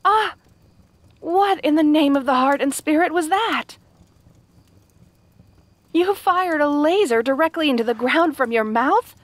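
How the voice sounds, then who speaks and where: A woman acts out a kind young fantasy princess with a fairly high voice, recorded close and dry. She is polite and earnest, a little naive, and hesitates as she explains herself directly to the listener. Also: lively intonation, unhurried, pauses often, no echo. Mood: startled, puzzled. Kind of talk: fantasy audio roleplay addressed to the listener.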